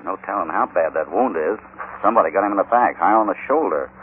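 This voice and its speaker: An actor playing a commanding, calm, shrewd American cowboy hero in an old radio Western, speaking firmly. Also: male voice, sits low, fast. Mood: steady, concerned. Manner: firm, decisive. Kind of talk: 1950s radio Western acting. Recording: tinny, noisy old radio recording.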